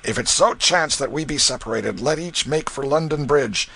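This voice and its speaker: A man voicing a rough, harsh father who gives orders in old-fashioned speech. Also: on the high side, sharp tone, pitched above the performer's own voice, full character voice. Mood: gruff. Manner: rough, commanding, slow. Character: gruff, harsh Tudor father on the run.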